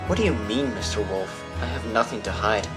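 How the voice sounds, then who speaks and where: A man's voice: on the low side, lively intonation, a little fast, over music, natural voice. A man playing a polite, slippery fox witness who puts on innocence in an audio drama. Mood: feigned innocence. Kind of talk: amateur audio drama acting.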